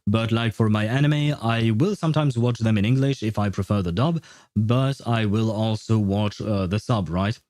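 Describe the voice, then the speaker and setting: A man's voice: fairly low pitch, normal speed, darkish tone, no echo, clean studio sound. A man streamer chatting casually with viewers in a rambling, hesitant way. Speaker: livestreamer. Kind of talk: casual livestream chat, replying to viewers.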